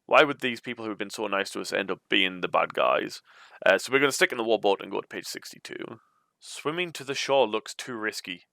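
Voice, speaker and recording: A man with a bright, forward voice reads a gamebook aloud as its host. He mixes storybook narration with informal, chatty asides, in a dry, close-sounding recording. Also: lowish voice, lively intonation, fairly quick, gravelly, no echo. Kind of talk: storybook read-aloud with casual commentary.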